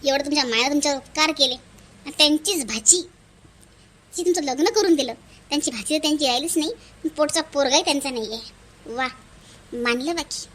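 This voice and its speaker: A woman's voice: high voice, fairly quick, pauses often, a bit bright, slight background noise. A woman voicing an outspoken young married neighbour who lectures reproachfully in a cartoon family drama. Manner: reproachful, moralizing, emphatic.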